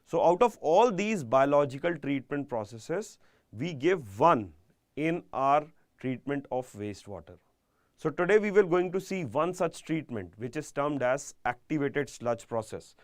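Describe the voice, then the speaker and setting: A man with an Indian accent giving a live online exam-prep engineering lecture, speaking deliberately and emphatically and repeating key phrases. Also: on the high side, very animated, dry recording, clean studio sound.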